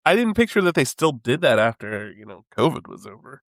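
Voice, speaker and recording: Husky sick guy hosting a podcast, laid back, rambly, dry sound. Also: medium pitch, sing-song, brisk, dry recording. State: sick with a head cold, husky. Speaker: podcast co-host. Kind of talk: casual chat.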